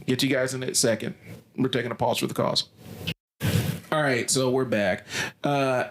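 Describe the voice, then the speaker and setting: A man's voice: mid-range voice, fairly even intonation, moderate pace, heavy background noise. Blunt-talking man. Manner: blunt, ranting, casual swearing. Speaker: podcast co-host, basketball coach. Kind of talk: opinionated podcast discussion.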